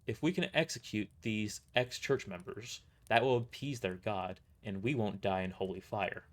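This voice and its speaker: A man's voice: lowish voice, moderate pace, lightly acted. A man playing a weary mercenary boss who gives grave, matter-of-fact briefings in an audio drama. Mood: grave, explanatory.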